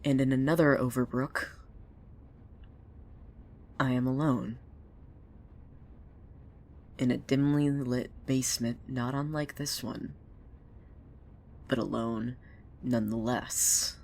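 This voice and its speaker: A woman as an unhinged, very low-voiced cult preacher. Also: steady tone, takes it slowly, pauses often, heavily acted. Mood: brooding, eerie. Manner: theatrical, swinging between hushed brooding and manic outbursts. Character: unhinged, bitter, foul-mouthed cult preacher with visions. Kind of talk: dramatic monologue in an audio fiction podcast.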